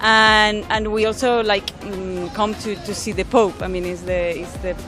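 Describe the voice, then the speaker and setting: Spanish-accented young woman, earnest, halting answers, music underneath. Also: medium pitch, steady tone. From Spain. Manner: earnest, halting, with many fillers. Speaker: interviewee.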